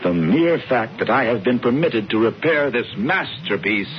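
A man's voice: mid-range voice, lively intonation, normal speed, lightly acted. An actor playing a pompous, theatrical schemer reading the paper aloud to his brother in a 1970s radio drama. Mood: scheming. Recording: dull 1970s radio, faint hiss.

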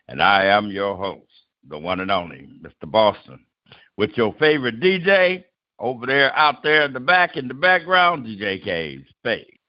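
A man's voice: deep voice, no room sound, dull audio. A man hosting an open mic poetry show, speaking in a rhythmic, declamatory MC style. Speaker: host.

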